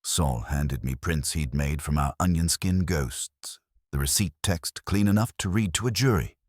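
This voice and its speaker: A man's voice: very deep voice, measured pace, no room sound, studio quality. A man reading a scripted detective story as narrator, in a wry first-person hardboiled manner.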